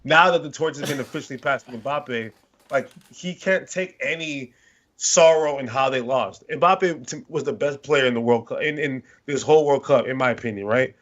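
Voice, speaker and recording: A man's voice: medium pitch, moderate pace. Rambling man on a dull podcast mic. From born in London, Nigerian family. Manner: rambling, animated storytelling with restarts. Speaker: podcast co-host. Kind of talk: casual sports chat. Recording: slightly dull lo-fi podcast audio.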